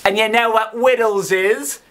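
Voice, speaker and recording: A man acting a slow, menacing convict. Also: high voice, steady tone, fairly bright tone, voice pushed up. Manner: slow, emphatic rustic speech with nonstandard grammar. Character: menacing, rough escaped convict, gruff and threatening.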